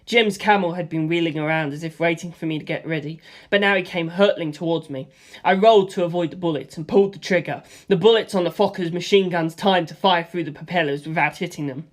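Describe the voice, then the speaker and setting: Give this a male amateur reader working through an action novel in the first person. He tells the story in an animated way, as the hero recounting his own fight. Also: fairly high pitch, brisk.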